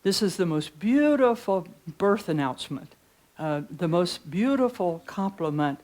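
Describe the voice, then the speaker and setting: An older woman with a deep voice leading a chatty preaching workshop in a conference room, reading hymn lyrics slowly. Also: expressive, darkish tone. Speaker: pastor teaching preachers. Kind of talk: informal preaching workshop talk. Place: conference room with seated audience.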